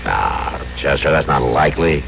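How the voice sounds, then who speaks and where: An actor playing a steady, authoritative frontier marshal in a radio Western, laconic and calm, with an American accent. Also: male voice, a bass voice, normal speed, gravelly, full character voice. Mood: calm, commanding. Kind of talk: 1960s radio Western acting. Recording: dull, very noisy vintage radio recording.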